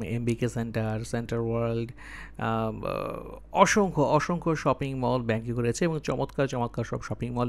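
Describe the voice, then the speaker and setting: A man working as a travel vlogger, talking casually to viewers in a halting way, thinking aloud as he explains. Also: mid-range voice, a bit bright.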